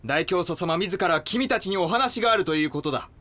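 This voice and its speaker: A loyal male cult lieutenant in a radio-style drama. His voice sits a little high, and he talks formally, with obedient, devoted zeal. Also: animated, brisk, sharp tone. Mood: formal, rallying. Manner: formal, deferential, reporting. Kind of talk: Japanese audio drama acting.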